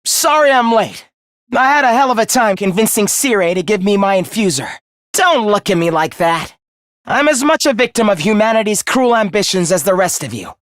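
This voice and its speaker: A man's voice: sits high, lively intonation, moderate pace, fairly bright tone, dry recording, studio quality. A man playing a young video game fighter who is eerily polite and fervent, speaking in theatrical, formal phrases of morbid devotion. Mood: sheepish, edgy. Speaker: voice actor. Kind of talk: dramatic video game character acting.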